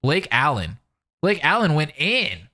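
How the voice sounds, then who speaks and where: A man's voice: fairly high pitch, wildly swooping pitch, unhurried, bright, forceful tone. A man doing casual, slangy, enthusiastic live commentary, reading narration aloud and reacting as a streamer. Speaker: streamer reacting to and reading a fan-dubbed visual novel. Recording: music behind the voice. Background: music.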